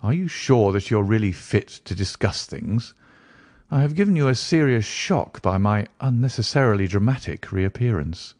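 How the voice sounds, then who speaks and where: A man voicing a composed, self-assured gentleman detective in an audiobook, with measured, formal phrasing. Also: on the low side, expressive, dry recording, clean studio sound. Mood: apologetic concern. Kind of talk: audiobook character dialogue.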